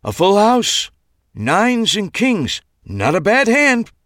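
A man voicing a showy male stage magician with dramatic, drawn-out announcing in a storybook reading. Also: high voice, huge pitch swings, a bit bright, dry recording, clean studio sound, voice pushed up, heavily acted. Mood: theatrical.

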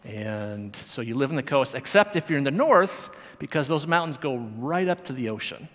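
A man delivering a church lesson in a relaxed, chatty way, often stopping to restart a phrase. His medium-pitched voice rings with a long hall reverb in a church sanctuary. He sounds like a lay teacher talking to his congregation. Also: normal speed. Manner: conversational, chatty, with frequent fillers and restarts. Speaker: Sunday school teacher. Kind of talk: sermon.

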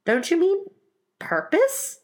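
Storybook young girl read by a woman: courteous, inquisitive, shy, stumbling over words, lively rise and fall. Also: very high-pitched, slow, voice pushed up, full character voice. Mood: timid. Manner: hesitant, stammering. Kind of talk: storybook character reading.

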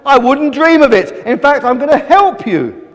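A man's voice: very high-pitched, expressive, normal speed, a bit bright, pitched above the performer's own voice. A man playing a cheery, grand storybook superhero. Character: boastful heroic male Superman in a story. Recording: echoey hall.

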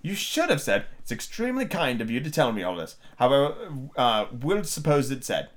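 A man voicing a brisk, bossy chess queen from a storybook, speaking in an imperious, instructing manner. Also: mid-range voice, big pitch swings, lightly acted.

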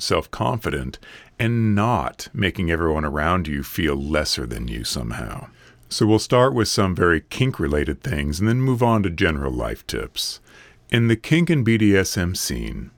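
A man hosting a solo podcast, his voice a bit raspy from a recent illness, talking in an earnest, steady, advice-giving way. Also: deep voice, no room sound. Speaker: podcast host. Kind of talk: casual solo podcast monologue.

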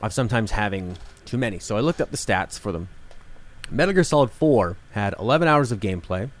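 A man's voice: on the low side, animated, fairly quick. A man co-hosting a casual discussion, explaining things in a conversational way. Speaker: podcast co-host discussing video games.

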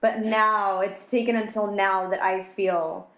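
A woman co-hosting an interview, asking questions in a fast, chatty, affirming way. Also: medium pitch, flat delivery, fairly bright tone, reverberant. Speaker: co-host. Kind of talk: casual interview talk.

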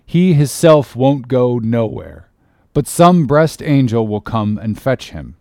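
A man's voice: medium pitch, expressive, a little mellow, no echo, voice pushed up, close to an everyday voice. Grumbling, elderly male sea cook, played by a man reading a storybook, drawling testily in dialect, dry sound. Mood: sullen. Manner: slow, drawn-out, testy dialect speech. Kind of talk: audiobook character reading.

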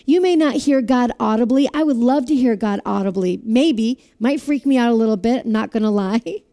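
A woman preaching a sermon with a loud voice, asking listeners to repeat phrases after her. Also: on the high side, moderate pace. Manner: asks the audience to repeat phrases.

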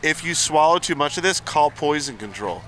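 A man's voice: on the high side, lively intonation, moderate pace, a bit bright, slight background noise. A man co-hosting a podcast, teasing and provocative in joking banter, his voice worn from talking. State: losing his voice. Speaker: podcast co-host.

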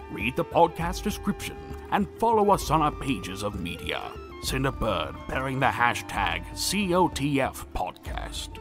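A man narrating scripted credits for a fantasy audio play in a formal, theatrical announcer manner. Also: medium pitch. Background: music.

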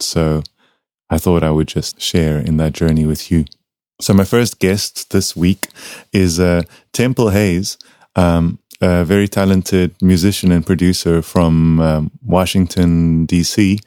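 A young man from South Africa hosting a casual podcast from his home studio, talking in a relaxed, rambling way with many fillers. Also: deep voice, smooth, no echo. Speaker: podcast host.

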